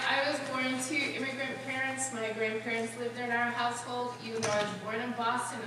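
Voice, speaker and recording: Woman, school superintendent, panel talk, distant mic, big reverberant hall, audible background noise. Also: fairly high pitch. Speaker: superintendent principal. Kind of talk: panel remarks.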